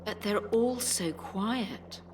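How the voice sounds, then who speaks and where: An actress playing a stubborn elderly care home resident who believes in conspiracies, in an audio drama. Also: female voice, mid-range voice, takes it slowly, slight background noise, close to an everyday voice. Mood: appalled. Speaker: voice actor.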